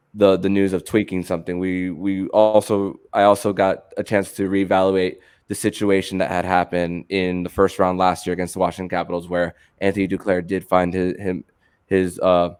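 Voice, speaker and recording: A man's voice: low-pitched, a little mellow, clear, resonant tone. A man hosting a sports podcast, speaking to an audience and reading sponsor spots. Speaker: podcast host.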